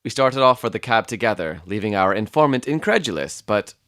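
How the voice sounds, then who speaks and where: A man plays a doctor telling of his detective companion in a dramatized storybook. His narration is measured, observant and literary. The voice is on the low side, with a dry, roomless sound. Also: lively intonation, a bit bright, dry recording. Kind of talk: scripted first-person storybook narration.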